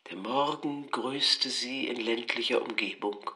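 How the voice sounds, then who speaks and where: A man reads a literary narrative in with measured, deliberate phrasing. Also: mid-range voice, bright, forceful tone. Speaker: narrator, reader of a literary text.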